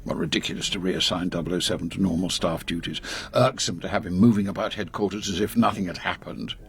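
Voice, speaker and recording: An actor playing an authoritative older British spy chief, brisk and ruthless, with clipped, formal delivery in a radio drama. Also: male voice, low-pitched, steady tone. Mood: grave, resolute.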